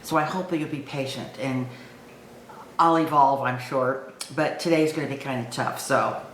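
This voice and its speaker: Low-voiced woman of sixty-five, echoey room. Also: fairly even intonation, normal speed. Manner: informal, hesitant, thinking aloud. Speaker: online video host. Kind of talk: reflective personal talk to camera. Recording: echoey room with faint background noise.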